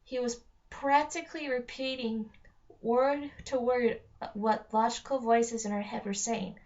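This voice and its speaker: A woman's voice: on the high side, steady tone, measured pace, darkish tone. A woman narrating a children's story aloud, reading from the text with an occasional stumble. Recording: dull lo-fi home recording.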